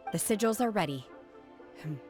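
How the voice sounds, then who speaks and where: An actress playing a reserved, earnest young woman in a video game, speaking calmly and formally. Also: medium pitch, moderate pace, soft background music, lightly acted.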